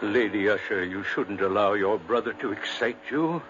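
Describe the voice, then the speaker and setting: An actor playing a grave, gentle family doctor in a 1950s radio drama, measured, soothing and hesitant. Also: male voice, on the low side, close to an everyday voice. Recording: muffled, noisy 1950s radio recording.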